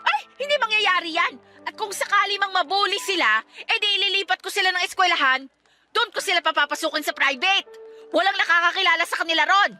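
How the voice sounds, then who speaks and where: A woman playing a defiant, sharp-tongued estranged wife and mother in a radio drama, sarcastic and combative. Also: very high voice, quick pace, soft background music, exaggerated voice. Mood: defensive, sarcastic. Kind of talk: Tagalog radio drama acting.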